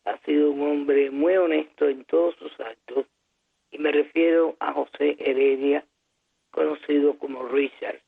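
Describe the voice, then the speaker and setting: A woman hosting a political talk show, speaking in a measured, formal way with frequent "eh" pauses. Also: low voice, steady tone, creaky, breathy edge. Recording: tinny phone-like broadcast audio.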